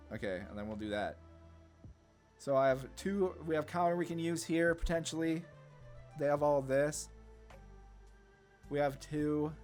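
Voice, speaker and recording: A man giving casual card game commentary, thinking aloud and often questioning his own choices as he goes. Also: medium pitch. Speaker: gameplay video host. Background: background music.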